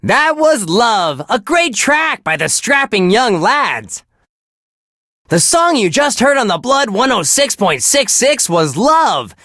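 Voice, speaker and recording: An actor playing a relentlessly cheerful, wholesome radio DJ on a metal station in a video game, with chirpy, earnest patter. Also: male voice, very high voice, very animated, takes it slowly, bright, forceful tone, no echo, clean studio sound, heavily acted. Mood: sunny, enthusiastic. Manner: chirpy, earnest, gee-whiz exclamations. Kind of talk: upbeat radio DJ patter, scripted.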